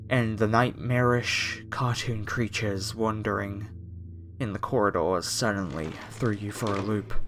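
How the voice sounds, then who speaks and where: Guy doing a creepy polite cult captor for horror asmr, deepish voice, really unhurried, sorry about everything. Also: fairly bright tone. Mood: polite menace. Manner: courteous, apologetic, unhurried, addressing the listener. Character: polite, soft-spoken masked cultist, former music director, devout captor. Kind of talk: horror ASMR roleplay monologue.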